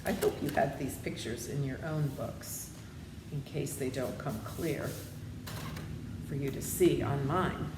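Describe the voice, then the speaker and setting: A woman's voice: rumbling low, measured pace. A woman reading a children's story aloud, with friendly asides to her listeners. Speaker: storybook reader. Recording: roomy echo with background noise.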